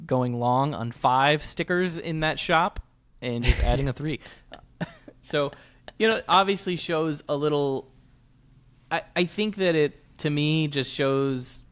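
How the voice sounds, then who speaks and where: A man's voice: medium pitch, fairly bright tone, clean tone. A man co-hosting a motorsports podcast, giving opinionated analysis in a casual, conversational way. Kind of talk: casual sports analysis chat.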